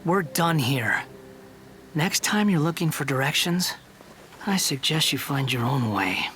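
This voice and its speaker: A man's voice: a little high, lively intonation, measured pace. A man voicing a cold, arrogant young male game hero who talks in clipped, dismissive lines, with music under him. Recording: game clip over loud music.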